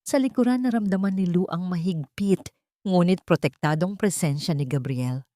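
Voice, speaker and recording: A woman tells a dramatic story from a script. Her voice is slightly low, with a solemn and suspenseful delivery. The recording is dry, with no room sound. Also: moderate pace, dark tone, no echo. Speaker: story narrator.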